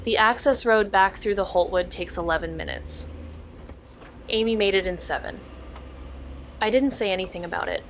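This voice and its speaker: Actress as a wry, composed young sleuth, crickets faintly behind. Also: female voice, medium pitch, lively intonation, moderate pace, pauses often, a little hiss or hum, natural voice. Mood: reflective. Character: wry, composed young woman amateur detective. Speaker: lead actor and narrator in an audio drama. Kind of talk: naturalistic noir podcast drama acting.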